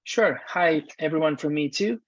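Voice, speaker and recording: A man's voice: on the high side, normal speed, no room sound. Young man over dull video call audio. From Greece. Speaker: PhD student and regional head. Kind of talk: casual panel introduction.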